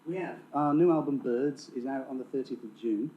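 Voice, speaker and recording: A man's voice: mid-range voice, brisk. A man from Lancashire, a band member chatting casually in an interview, hesitant and wry, in an echoey, slightly dull recording. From Lancashire, England.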